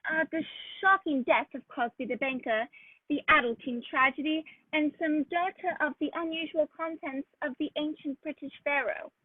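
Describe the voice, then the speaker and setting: A woman playing a genial, avuncular old gentleman doctor who tells stories in a radio play. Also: sits high, steady tone, normal speed, no echo, close to an everyday voice. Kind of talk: radio play acting and narration. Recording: dull lo-fi dry audio, faint music.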